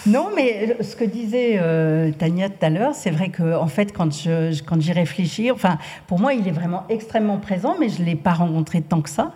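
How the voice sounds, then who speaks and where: A woman's voice: fairly low pitch, lively intonation, normal speed, few pauses, mellow, in a large hall. A woman writer speaking as a guest at a literary talk, hesitant, with many fillers. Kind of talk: casual conversational interview.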